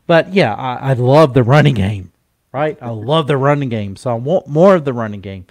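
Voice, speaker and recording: A man with a stuffy, congested voice from a cold, co-hosting a casual sports chat in a slow, halting way with many fillers and restarts. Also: medium pitch, animated, a little mellow, dry recording, studio quality. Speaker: podcast co-host.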